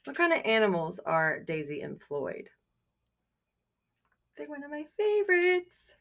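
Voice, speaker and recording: A woman reading a picture book aloud to young children like a teacher, expressive and encouraging, pausing to put questions to her listeners. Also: high-pitched. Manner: expressive, encouraging, exclaims softly over pictures.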